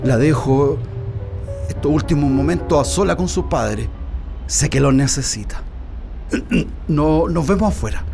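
An actor playing a kindly, respectful ceremony attendant with a Chilean accent in a radio drama, halting and deferential. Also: male voice, a little high, creaky. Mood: gentle, encouraging. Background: music.

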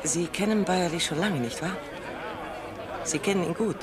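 An actress playing a calm, dry, inquisitive woman psychologist in a radio play. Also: on the low side, normal speed, natural voice. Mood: curious. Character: woman doctor, curious and cool-headed psychologist. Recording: loud crowd noise outdoors.

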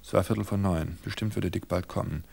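Male narrator, gravelly, lowish, measured storytelling, studio radio play. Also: fairly even intonation, darkish tone.

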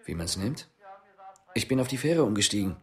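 An actor playing a guarded, dry police investigator in a radio drama, speaking tersely. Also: male voice, animated, normal speed, lightly acted. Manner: terse, low-key.

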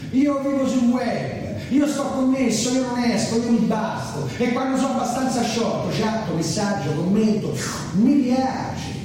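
A man's voice: high-pitched, dark tone, pitched above the performer's own voice. A man playing a manic, boastful drunken internet hermit, rattling off emphatic lists in a satirical stage monologue. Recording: distant, noisy, very echoey hall recording.

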